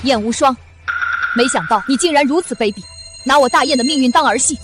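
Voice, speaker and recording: A woman as a proud, high-pitched female general, music behind. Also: quick pace, close to an everyday voice. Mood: cold, defiant. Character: proud, fierce young female army general. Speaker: actress in a costume short drama. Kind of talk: period war drama acting. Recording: dramatic music under the dialogue.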